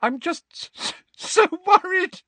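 A man's voice: lively intonation, takes it slowly, a bit bright, no echo, exaggerated voice. A man voicing a distraught middle-aged mother who sobs and stammers through tears, in an audiobook reading. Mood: sobbing. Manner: stuttering, high and strained. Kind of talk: audiobook character voice.

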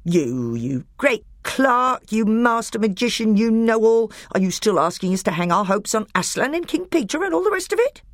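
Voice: medium pitch, sing-song, normal speed, hardly any pauses, no echo, studio quality, voice pushed up, natural voice. A reader voicing a bitter, angry male dwarf who snarls accusations. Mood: furious.

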